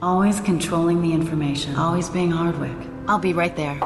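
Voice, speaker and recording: An actress playing a sharp, dry-witted female intelligence analyst. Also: on the low side, brisk, faint music behind, close to an everyday voice. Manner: dry, wry, quietly sarcastic.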